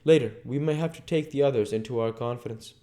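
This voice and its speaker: A man voicing a grave, sorrowful old foreign professor from a gothic novel, speaking halting, formal broken English. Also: medium pitch, warm tone, voice pushed up, close to an everyday voice. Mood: grave, urgent.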